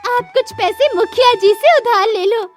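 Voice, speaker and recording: A woman's voice: extremely high pitch, normal speed, loud music behind the voice, voice pushed up. A woman voicing a practical, hopeful village housewife from a poor family in a cartoon, with an eager, coaxing manner. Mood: eager suggesting.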